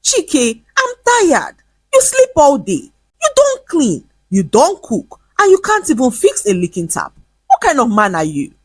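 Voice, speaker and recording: A woman's voice: sits high, huge pitch swings, measured pace, no echo, studio quality, voice pushed up, exaggerated voice. A woman doing a fed-up young wife with a lilt. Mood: scolding. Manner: snapping, exasperated. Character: fed-up young pregnant wife, sharp-tongued.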